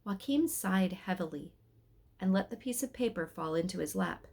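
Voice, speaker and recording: A woman reading a children's story aloud in an expressive style. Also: on the low side, normal speed, creaky, slightly rough.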